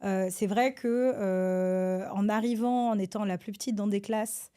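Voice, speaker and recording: A woman from France, a government advisor, answers interview questions in a hesitant, halting way with many filler sounds and restarts. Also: medium pitch, steady tone, mellow, clean tone. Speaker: government advisor, podcast guest.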